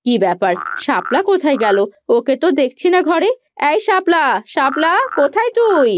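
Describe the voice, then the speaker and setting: A woman's voice: high-pitched, sing-song, normal speed. A woman playing a scheming, scornful young sister-in-law in a cartoon fairy tale, bossy and sly. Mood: puzzled. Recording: background music under the voice.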